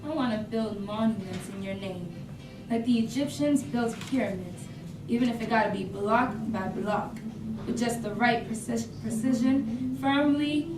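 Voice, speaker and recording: A woman's voice: on the high side, moderate pace, over music, close to an everyday voice. A woman acting a poetic, searching and defiant character in a staged reading of a play. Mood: devoted, lyrical. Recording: echoey, distant, noisy live stage recording.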